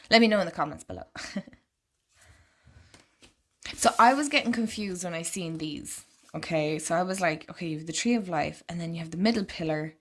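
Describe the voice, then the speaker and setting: A woman vlogger walking through an oracle card deck in casual, rambling chat, her voice slightly croaky and congested. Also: fairly low pitch. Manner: chatty and rambling, lots of "like".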